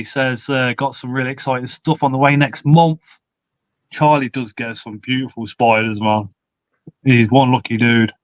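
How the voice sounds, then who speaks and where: A man in his forties chats through a live stream, reading out viewers' comments and swearing casually. His voice sits at an ordinary pitch. The sound is dry and slightly dull. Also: fairly bright tone, dry recording. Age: 40s. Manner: chatty, swears casually, laughs often. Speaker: livestream host.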